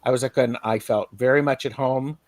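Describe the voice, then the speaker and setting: A middle-aged man in easy conversation, spinning anecdotes and slipping into quick acted voices. His voice sits at an ordinary pitch, and the sound is dry and close, with no room around it. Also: fairly even intonation, no room sound. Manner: chatty, storytelling with acted asides. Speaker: co-host, tarot reader. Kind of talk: casual chat.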